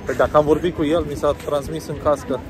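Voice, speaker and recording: A man in his thirties joking in a mock-official, deadpan way, filmed outdoors on a phone held at arm's length. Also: on the high side. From Romania. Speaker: friend on camera. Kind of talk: joking banter. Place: outdoor city street. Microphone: phone camera at arm's length. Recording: music in the background. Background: music.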